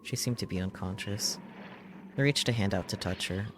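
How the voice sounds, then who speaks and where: A man's voice: fairly low pitch, moderate pace, background noise. A man reading a visual novel's script aloud as a streamer, in a dramatic storytelling style. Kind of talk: dramatic read-aloud narration of a game script.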